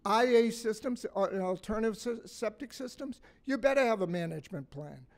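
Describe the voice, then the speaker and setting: An older man in his sixties with a slightly raspy voice, giving a blunt, folksy conference talk from a podium mic in a hotel meeting room, joking and asking the audience questions. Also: high-pitched, animated, a little fast. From Massachusetts, USA. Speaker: conference speaker. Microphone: stand mic on a podium.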